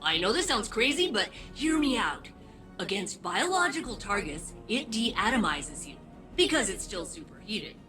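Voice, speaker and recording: An eager woman tech expert from a video game, with a bright, forceful tone. Her pitch is medium and very animated, with faint music behind. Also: moderate pace.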